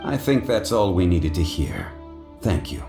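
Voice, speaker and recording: An actor playing a composed, courteous gentleman in a video game, speaking in a measured, formal way. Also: sits low, wildly swooping pitch, creaky, heavily acted. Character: composed, courteous gentleman adventurer, adult man. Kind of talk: video game character acting. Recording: faint music, roomy sound.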